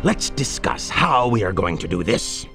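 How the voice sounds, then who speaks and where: A man's voice: fairly low pitch, big pitch swings, normal speed, a bit bright. A man voicing a grandiose, scheming evil genius in a video game, theatrical and gloating, with cartoon villain relish. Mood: sly, menacing. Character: bombastic, scheming middle-aged male evil genius villain. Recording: game voice clips over background music.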